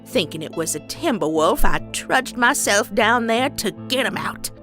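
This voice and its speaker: A woman voicing a stern, bitter old farm grandmother pony in a comic dub, with a Southern US accent and folksy, deliberate delivery. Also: fairly high pitch, lively intonation, lightly acted. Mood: grave, confessional. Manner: folksy, slow, deliberate storytelling. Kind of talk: dramatic character acting in comic dub. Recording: dramatic music behind.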